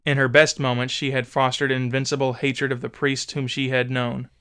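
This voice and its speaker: A man narrating an old memoir as a dramatic audiobook, solemn, measured and theatrical. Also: mid-range voice, level tone, sharp tone, clean tone.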